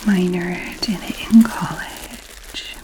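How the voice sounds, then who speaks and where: A woman with a Scottish accent, whispering slowly and intentionally in a chatty ASMR video, musing aloud close to the mic. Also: lowish voice, steady tone, a little mellow. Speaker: ASMR creator.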